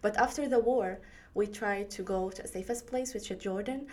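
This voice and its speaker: A woman's voice: mid-range voice, normal speed, darkish tone. A teenage girl from Syria whose first language is Arabic, speaking English with an Arabic accent and answering interview questions hesitantly, searching for words. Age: teens. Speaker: graduating student guest.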